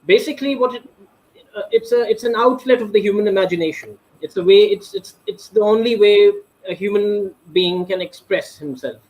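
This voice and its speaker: A man's voice: sits high, normal speed, darkish tone, poor audio. A man answering as a panel guest, ornate and hesitant, with many restarts and fillers.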